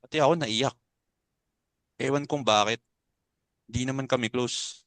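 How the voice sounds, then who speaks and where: A man's voice: medium pitch, measured pace, fairly bright tone, no echo. A young man playing a naive, sensitive college student in a dramatic reading, rambling and conversational. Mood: puzzled, wounded. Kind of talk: dramatic stage reading in Tagalog.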